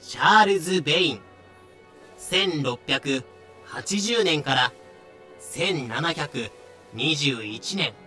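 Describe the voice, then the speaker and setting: A man's voice: fairly high pitch, expressive, takes it slowly, long pauses, sharp tone, faint music behind. A man delivering scripted dramatic narration as the storyteller.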